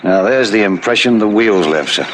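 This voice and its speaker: Low-voiced dutiful police sergeant played by an actor. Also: male voice, normal speed, fairly bright tone, natural voice. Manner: respectful, obedient. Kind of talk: vintage radio detective drama acting. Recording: old radio broadcast with background noise.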